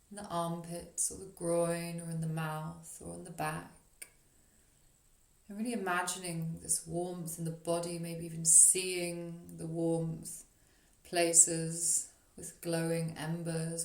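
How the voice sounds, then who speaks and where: A woman leading a guided meditation as a yoga nidra teacher, speaking in a soothing, gentle, unhurried way with long pauses. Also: lowish voice, creaky. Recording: roomy echo on the voice.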